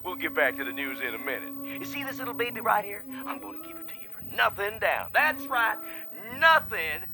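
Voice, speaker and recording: A man's voice: high voice, big pitch swings, brisk, music in the background. An actor playing a brash, leering television car salesman, pushy and emphatic, heard through a TV set. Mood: pushy, jovial. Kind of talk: hard-sell television commercial patter.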